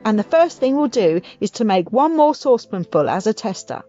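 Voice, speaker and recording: A woman voicing a wildly excited, impatient father with grand schemes in a children's audiobook, emphatic and urgent. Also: on the high side, fairly quick. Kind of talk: audiobook character voice for children's story. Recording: muffled audio with music behind.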